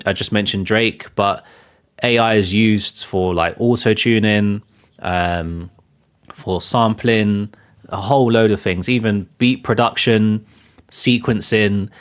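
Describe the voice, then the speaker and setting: A man with a fairly low voice hosts a live film chat, thinking out loud as he goes. He keeps circling back with fillers and restarts, and his delivery stays loose and conversational. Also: slow pace, clean tone. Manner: rambling, many fillers and restarts. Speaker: show host. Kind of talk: live casual commentary.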